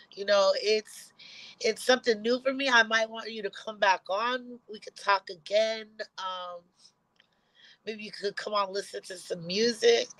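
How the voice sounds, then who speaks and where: A woman hosting a casual interview, encouraging her guest in a relaxed, plain-spoken way. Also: medium pitch, dry recording, low-quality recording. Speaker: podcast host.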